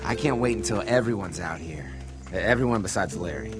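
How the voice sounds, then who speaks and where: An actor playing a good-natured, loyal survivor in a video game, talking in a casual, eager way. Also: male voice, deep voice, lively intonation, brisk, music in the background. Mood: hopeful.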